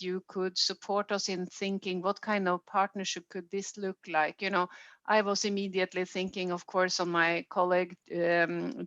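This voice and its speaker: Norwegian-accented female official, lowish voice, deliberate unscripted meeting remarks, slightly dull audio. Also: a bit bright. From Norway. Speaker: health organisation official leading a consultation. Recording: roomy, dull band-limited meeting audio.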